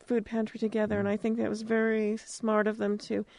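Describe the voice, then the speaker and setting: A woman's voice: medium pitch, monotone, brisk, creaky, darkish tone, no echo. Conversational American woman, dry studio sound. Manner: conversational, plain-spoken. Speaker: campus radio host, interviewer. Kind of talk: informal radio interview. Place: radio studio.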